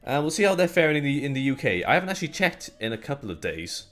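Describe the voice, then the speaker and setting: A man's voice: a little high, big pitch swings, fast, a bit bright, soft background music. A young man from Wales with a light Welsh accent, co-hosting a casual tech podcast in a chatty, joking, rambling way. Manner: chatty, jokey, rambling with restarts. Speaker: co-host.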